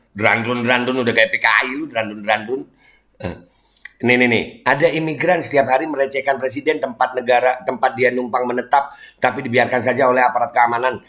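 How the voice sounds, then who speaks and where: A middle-aged man from Indonesia with a Jakarta Betawi accent, reacting to online comments in a mocking, heated, casual way, switching between low muttering and loud indignant outbursts. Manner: mocking, heated, laughs and sighs often. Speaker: commentator reacting to social media posts.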